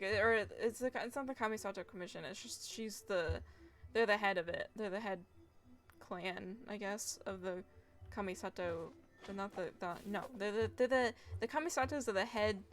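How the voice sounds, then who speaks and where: A woman streaming a video game, talking casually in a chatty, rambling way and laughing often. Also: mid-range voice. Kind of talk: casual live game commentary. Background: game music and keyboard typing.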